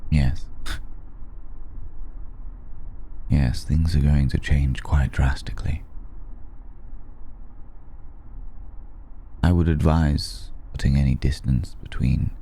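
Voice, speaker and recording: A man playing a courteous, protective aristocratic vampire in an intimate ASMR roleplay, speaking formally and hesitantly with long pauses in a gravelly, dark voice. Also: extremely low pitch, fairly even intonation, full character voice. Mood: grave, measured. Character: ancient aristocratic male vampire, courteous, protective, quietly amused.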